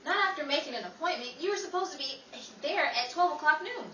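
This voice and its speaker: A woman's voice: high-pitched, animated, quick pace, pushed and bright, muffled recording. A woman playing a dry, sarcastic young Hollywood woman in 1930s radio comedy. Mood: sarcastic, exasperated. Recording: echoey room, distant mic, noisy audience.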